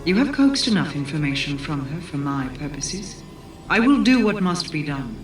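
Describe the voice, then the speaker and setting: A woman's voice: sits low, big pitch swings, moderate pace, roomy sound, recorded from a distance. A woman playing a regal, commanding queen in a fantasy video game, speaking in a solemn and formal way. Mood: grave. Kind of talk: video game cutscene acting. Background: music.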